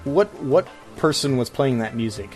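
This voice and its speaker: A man's voice: medium pitch, animated, moderate pace, a little mellow. Rambling man co-hosting, music behind. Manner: hesitant, rambling, peppered with "like". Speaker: podcast co-host. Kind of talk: casual opinionated chat.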